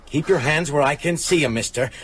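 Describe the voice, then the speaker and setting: An actor playing a gruff, shady small-town American businessman in a radio drama, with a husky voice and American accent. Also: a little high, fairly quick, fairly bright tone, a little hiss or hum, lightly acted. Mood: tough, wary.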